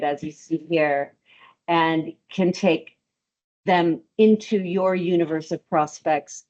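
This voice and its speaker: A woman with a low voice hosts a webinar over a video call. She stops and restarts often, with plenty of ums. The call audio sounds dull and lo-fi. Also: a little mellow. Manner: hesitant, many ums and restarts. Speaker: webinar host. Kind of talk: webinar presentation.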